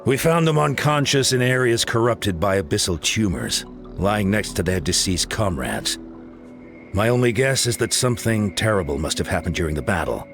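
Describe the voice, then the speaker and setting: A deep-voiced solemn elder. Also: male voice, animated, normal speed, fairly bright tone, soft background music. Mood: grave. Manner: formal, explanatory, somber. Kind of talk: video game voice acting.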